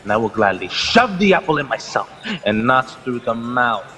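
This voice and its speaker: An actor playing a proud, stern army lieutenant with a sardonic edge in a radio drama. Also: male voice, mid-range voice, sing-song, moderate pace, pushed and bright, husky, exaggerated voice. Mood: stern, threatening. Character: proud, threatening army lieutenant loyal to the governor. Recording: long hall reverb, music underneath.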